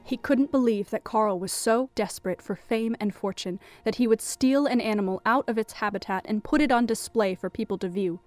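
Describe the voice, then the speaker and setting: A woman's voice: on the high side, a little fast, few pauses, darkish tone. A woman reading scripted third-person narration for an audio drama as its storyteller. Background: music.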